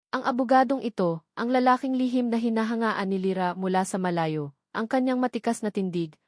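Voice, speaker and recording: A woman's voice: medium pitch, a little mellow, no echo. Dramatic woman narrator, dry recording. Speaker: story narrator.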